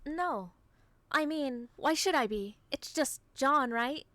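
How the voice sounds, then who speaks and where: High, bright-voiced guarded young heroine. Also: female voice, expressive, slow, dry recording, full character voice. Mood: anxious. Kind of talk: dramatic comic dub acting.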